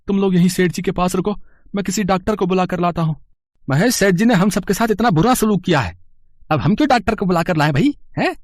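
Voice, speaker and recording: A man acts out an earnest male storybook character in dramatized dialogue. The voice is notably high for a man, recorded dry. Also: animated, fast talker, no room sound. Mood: alarmed, concerned.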